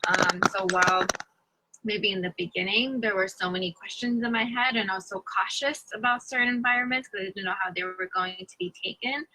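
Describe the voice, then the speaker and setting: A woman's voice: mid-range voice, brisk, sharp tone, slight background noise, low-quality recording. A woman on a discussion panel, speaking reflectively with some hesitant restarts. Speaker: panel member.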